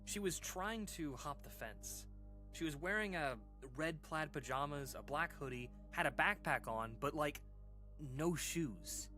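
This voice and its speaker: A man acting as an uneasy storyteller in an audio drama, recounting an eerie childhood memory in a halting, informal, conversational way. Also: a little high, expressive, bright, forceful tone, soft background music. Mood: tense, disturbed. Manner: hesitant, halting, informal, with pauses and sighs.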